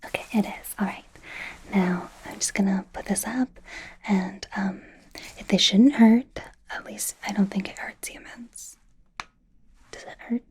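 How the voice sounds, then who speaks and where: A woman playing an anxious, apologetic young werewolf medical student in a soft, hesitant ASMR roleplay whisper. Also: mid-range voice, fairly even intonation, husky. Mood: careful, apologetic. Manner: hesitant, halting, nervous.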